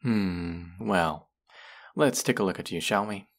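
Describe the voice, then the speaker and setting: Low creaky voice, slow, smug young man, condescending, sarcastic, roleplay audio drama, acted by a man. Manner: drawling, teasing, sarcastic. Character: condescending, smug, mocking young man, rude twin brother. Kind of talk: roleplay audio drama, intimate character acting.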